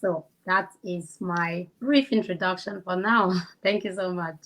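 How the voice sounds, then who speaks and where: A woman's voice: lowish voice, measured pace, fairly bright tone. A woman with a Cameroonian accent chatting casually as the guest in an interview. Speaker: interview guest, kindergarten teacher.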